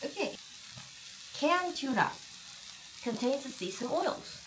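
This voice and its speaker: A woman's voice: sits high, very animated, takes it slowly, background noise. A young woman with a Korean accent, chatting casually while she cooks and eats at a table at home. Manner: chatty, mixes in Korean phrases. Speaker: cooking vlogger. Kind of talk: casual cooking chat. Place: home kitchen table, indoors. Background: frying and sizzling from the pan.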